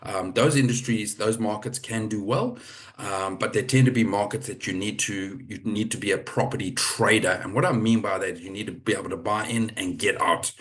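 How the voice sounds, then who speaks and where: A middle-aged man from Zimbabwe hosting a live property webinar over a video call, chatty and relaxed, with many fillers and restarts. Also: fairly low pitch, brisk. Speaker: webinar host.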